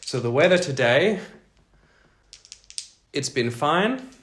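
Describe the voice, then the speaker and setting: A man's voice: on the high side, sing-song, takes it slowly, fairly bright tone. Man narrating a solo role-playing game. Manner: thinks aloud while playing, self-deprecating asides. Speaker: host and player of a solo role-playing audio show. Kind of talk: narrated story mixed with casual game commentary.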